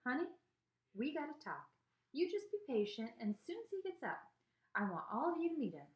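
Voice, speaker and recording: A woman's voice: high voice, very animated, normal speed, voice pushed up. A woman voicing a feisty, doting old Southern grandmother in a story, folksy, bossy and affectionate, with a Southern US accent. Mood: coaxing. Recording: echoey room.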